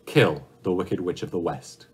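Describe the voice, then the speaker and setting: A man's voice: sits low, measured pace, breathy edge, exaggerated voice. A man voicing a giant, stern wizard head with an imperious, demanding, declamatory manner. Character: giant disembodied wizard head, great, terrible, stern and commanding.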